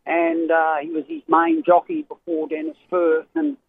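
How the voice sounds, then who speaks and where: A man's voice: extremely high pitch, very animated, moderate pace, gravelly. A middle-aged Australian man, a racehorse trainer, talks in a rambling, hesitant way with many fillers as a radio guest over a phone line. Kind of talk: casual radio interview. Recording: tinny phone line with faint hiss.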